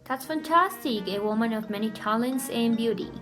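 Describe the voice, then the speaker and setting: High-voiced woman as eager 1920s radio co-host. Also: moderate pace, a bit bright, faint music behind, close to an everyday voice. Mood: admiring. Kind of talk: scripted 1920s-style radio show.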